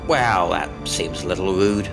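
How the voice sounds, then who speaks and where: A man's voice: low voice, measured pace, gravelly, over music. A man playing a sly, taunting illusion of a dead officer in a science fiction audio drama. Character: mocking illusion posing as a dead lieutenant.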